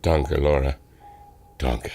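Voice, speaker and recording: A man's voice: a bass voice, flat delivery, slow, dark tone, full character voice. A man playing an earnest, remorseful German airship adventurer with a German accent, solemn and melodramatic. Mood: regretful. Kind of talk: old-time radio adventure serial acting.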